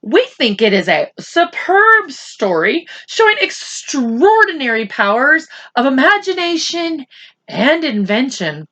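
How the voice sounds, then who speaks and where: A woman voicing a formal, congratulatory competition director's letter in a measured, proclaiming manner, within a children's story. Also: high-pitched, very animated, a bit bright, pitched above the performer's own voice, exaggerated voice. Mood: proud, formal.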